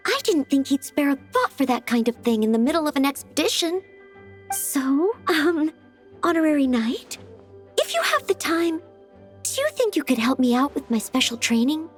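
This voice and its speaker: A woman's voice: sits high, big pitch swings, normal speed. A woman playing a humble, eager young knight trainee who speaks politely and hesitantly. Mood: modest. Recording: game audio with faint music.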